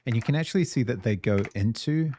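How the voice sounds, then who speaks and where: A man's voice: mid-range voice, expressive, fast, mellow, a little hiss or hum. A man with a New Zealand accent, streaming while he codes, chatting with viewers and thinking aloud. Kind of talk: live coding stream commentary. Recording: keyboard typing clicks behind.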